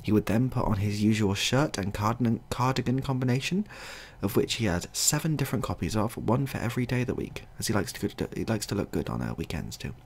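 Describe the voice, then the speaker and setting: A man narrating a scripted comic short story as a storyteller, in a husky, gravelly voice. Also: on the low side, animated, quick pace, slight background noise.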